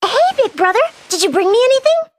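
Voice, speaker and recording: Very high-pitched eager little girl. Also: sing-song, brisk, no echo, clean studio sound. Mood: eager hope. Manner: eager, sweet, questioning. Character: excited, adoring little sister, small girl. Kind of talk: video game voice acting. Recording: loud music behind voice. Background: loud music.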